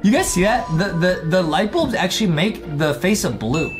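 A man's voice: sits high, sing-song, moderate pace, over music. A young man hosting a gaming video, giving hyped, casual commentary to viewers and often repeating his phrases. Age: young adult. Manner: hyped, repetitive, addresses viewers as guys. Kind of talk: excitable, casual gameplay commentary.

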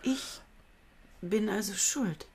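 A woman's voice: mid-range voice, takes it slowly, darkish tone, husky, heavily acted. An actress playing a bitter, defensive young woman who has inherited land, speaking in clipped, resentful replies in a radio play. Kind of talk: 1970s radio play acting.

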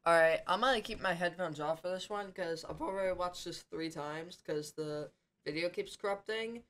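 A man's voice: high-pitched, moderate pace, fairly bright tone, slight background noise. A young man giving casual reaction commentary as the host of a video, recorded in a room at home. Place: small home room, indoors.

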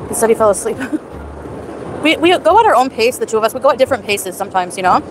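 A woman's voice: a little high, lively intonation, quick pace, noisy background. Chatty woman streaming from a night market. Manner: chatty, reads chat names aloud while eating. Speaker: livestreamer. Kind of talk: casual live stream chat. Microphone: phone at arm's length. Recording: outdoor phone stream with loud background music.

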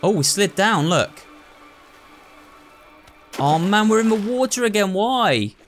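A man's voice: sits high, expressive, slow pace, fairly bright tone, soft background music. A man hosting a video game playthrough, thinking aloud and talking to viewers in a running commentary. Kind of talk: excitable gameplay commentary.

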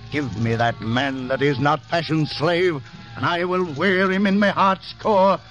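A man's voice: a little high, unhurried, a bit bright, rough recording. An actor playing a deranged, tired old man who declaims like a fallen king in theatrical radio-drama style. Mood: wistful, broken. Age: older. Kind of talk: 1950s radio drama acting, declaiming Shakespeare. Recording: vintage radio recording, background music.

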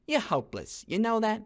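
A man voicing a brash, teasing demon gangster with a soft side, slangy and expressive, in a cartoon comic dub. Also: high-pitched, exaggerated voice. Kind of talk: animated comic dub acting.

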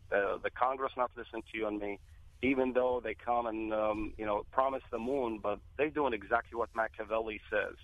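Iraqi-born male guest on a call-in line, earnest and halting, phone-quality and dry. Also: medium pitch, no room sound. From Iraq. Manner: earnest, repeats himself with many fillers. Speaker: guest speaker. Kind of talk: political talk radio interview.

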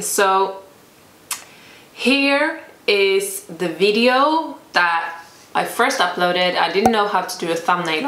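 A Swedish woman in her thirties talks casually to camera, her English carrying a Swedish accent. Her voice sits at a middle pitch, and she fills her sentences with ums and easy laughs. The room around her is bare and echoey. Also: fairly bright tone. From Sweden. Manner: chatty, laughs often, lots of fillers. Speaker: fitness YouTuber. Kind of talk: casual vlog chat to camera. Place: bright indoor room with a plain grey wall.

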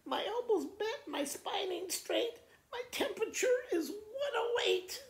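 A man's voice: extremely high pitch, animated, measured pace, voice pushed up, full character voice. A man voicing a melodramatic little girl who is faking sickness, whiny and self-pitying as she lists complaints. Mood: feeble, tearful. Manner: whiny, breathless listing of complaints. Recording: roomy indoor echo.

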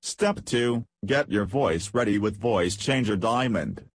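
A man reading a scripted, step-by-step software tutorial as a voice-over narrator. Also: lowish voice, lively intonation, unhurried.

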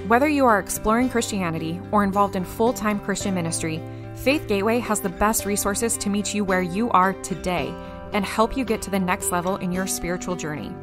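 Lady podcast host reading a script, mid pitch, music clearly under her. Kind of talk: scripted narration.

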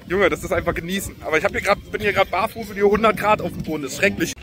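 A man, chatting casually and excitably in slang to a phone camera as a vlogger. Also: high-pitched, racing. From Berlin, Germany. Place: outdoors on holiday, by beach, pool and roads. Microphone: phone at arm's length. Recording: noisy outdoor phone recording.

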